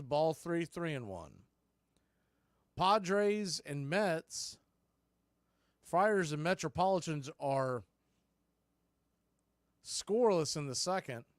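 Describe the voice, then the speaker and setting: A man's voice: a little high, no echo, clean studio sound. An American man calling live baseball play-by-play for radio, in clipped phrases with conversational asides.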